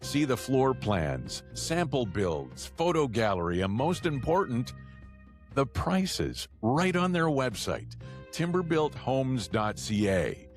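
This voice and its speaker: A man's voice: medium pitch, wildly swooping pitch, slow. A man hosting a podcast, delivering a scripted promotional read for sponsors. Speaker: podcast host reading sponsor spots. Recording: background music under voice.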